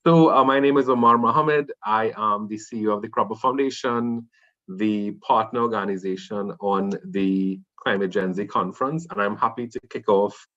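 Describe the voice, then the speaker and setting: A man's voice: lowish voice, fairly bright tone. A man opening and moderating an online panel discussion, with frequent hesitant fillers. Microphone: video call. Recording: dull lo-fi video call audio.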